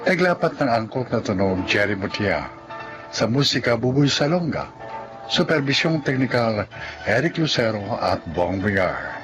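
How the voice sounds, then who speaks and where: A man narrating and reading the cast credits for a radio drama in a measured, solemn way. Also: medium pitch, sing-song. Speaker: program narrator. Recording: narration over clear music.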